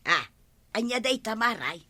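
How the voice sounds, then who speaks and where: Pushy village persuader, very sharp bright high voice, Ilocano accent, comic radio drama. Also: female voice, very animated, normal speed. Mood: curious. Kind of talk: comedy radio drama acting.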